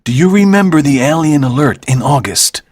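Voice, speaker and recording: A woman's voice: low voice, animated, brisk, darkish tone, no room sound, studio quality, voice pushed down. A woman voicing an eager, curious schoolboy who keeps proposing plans in a read-aloud storybook. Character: curious excitable schoolboy, sure of his ideas. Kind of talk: audiobook character reading.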